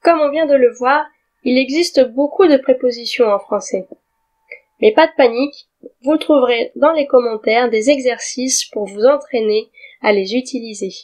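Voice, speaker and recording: A woman teaching a grammar lesson in a clear, deliberate way, spelling words out letter by letter. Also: on the high side, a little mellow. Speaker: French teacher. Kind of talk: slow scripted grammar lesson.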